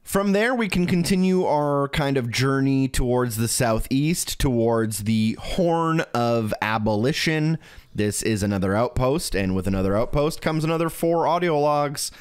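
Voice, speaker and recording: A man's voice: medium pitch, expressive, a little slow, clear, resonant tone. A man narrating a video game walkthrough in a casual, instructional way, talking directly to the viewer. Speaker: video game guide creator.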